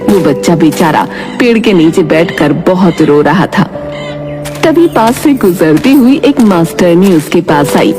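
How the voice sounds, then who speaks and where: A woman's voice: on the low side, lively intonation, measured pace. A woman giving scripted narration for a children's moral cartoon story. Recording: music behind the voice.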